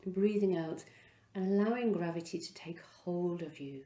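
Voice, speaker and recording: A woman leading a guided relaxation as a yoga instructor, speaking in an unhurried, soothing way with pauses between phrases. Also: lowish voice, dark tone, roomy sound.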